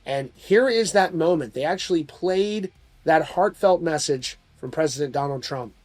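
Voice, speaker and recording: A man's voice: a little high, expressive, moderate pace, darkish tone, no echo. A man hosting a music news show, delivering scripted narration about current stories.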